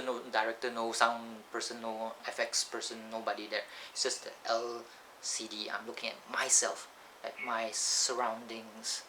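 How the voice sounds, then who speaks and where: A man with a Malaysian English accent rambling through a casual vlog, often correcting himself and drifting off topic, recorded on a camcorder's built-in microphone that makes his voice sound thin and lacking bass. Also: pushed and bright, poor audio. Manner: rambling, digressive, self-correcting. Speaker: vlogger. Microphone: built-in camcorder mic. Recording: camcorder audio with clear background noise.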